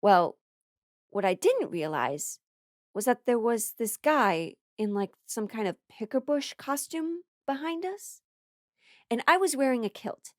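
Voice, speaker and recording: A woman's voice: a little high, big pitch swings, unhurried, dry recording. American woman, dry close sound, playful storyteller. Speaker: stand-up comedy performer. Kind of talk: casual comedic storytelling monologue.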